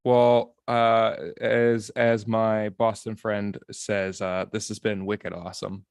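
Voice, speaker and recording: A man's voice: lowish voice, little pitch movement, takes it slowly, clean tone, no echo, clean studio sound. A man hosting a podcast, easygoing and steering the casual chat. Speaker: podcast host.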